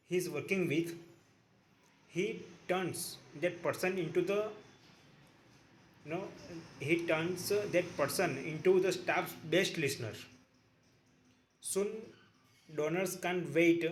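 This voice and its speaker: A man reading a book aloud in a halting way, repeating each sentence and correcting his own slips. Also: fairly high pitch, low-quality recording.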